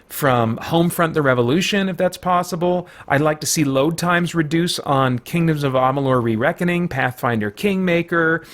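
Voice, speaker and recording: Middle-aged man giving measured commentary. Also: mid-range voice. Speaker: gaming vlog host. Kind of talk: conversational opinion commentary on video games.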